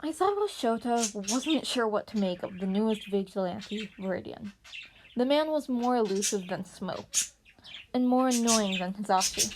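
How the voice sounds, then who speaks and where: A woman reading fan fiction narration aloud as an amateur podfic reader. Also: mid-range voice. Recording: noisy amateur recording with birds chirping.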